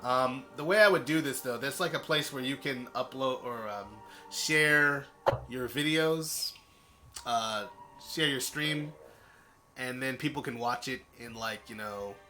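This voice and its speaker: A man's voice: mid-range voice, a little slow, music in the background. A man hosting a stream and chatting with his team on a voice call, rambling and correcting himself as he goes. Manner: rambling, with fillers and self-corrections. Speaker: stream host. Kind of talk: casual group chat on a voice call. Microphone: voice chat microphone.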